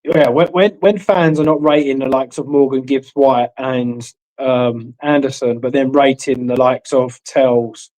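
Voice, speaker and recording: A man's voice: medium pitch, fairly even intonation, a little slow, hardly any pauses, darkish tone, rough recording. A male guest on a fan show, mostly giving brief agreeing interjections before a short reply.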